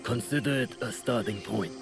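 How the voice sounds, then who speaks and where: An actor playing a proud, calculating deposed shogun in a game cutscene, speaking formally and with condescension in a gravelly voice. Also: male voice, on the low side, normal speed, music in the background. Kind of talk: dramatic video game cutscene acting.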